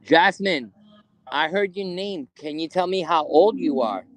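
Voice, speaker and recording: A man hosting a live ghost hunt in a cemetery at night, speaking haltingly with many fillers as he calls out to spirits. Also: a little high, fairly bright tone. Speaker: ghost hunter streaming live. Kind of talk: live paranormal investigation stream.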